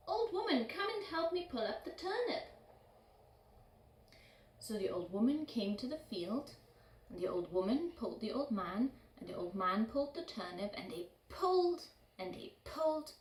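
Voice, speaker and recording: A woman telling a children's puppet show story in a gentle, sing-song way. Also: mid-range voice, animated, moderate pace. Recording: roomy echo, distant microphone.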